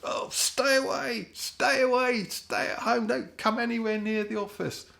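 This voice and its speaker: A man acts out a British office boss in a comic monologue, exasperated and easily alarmed. The voice is pushed up high, brusque and blustering. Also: a little slow, gravelly, bright, forceful tone, heavily acted. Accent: British English.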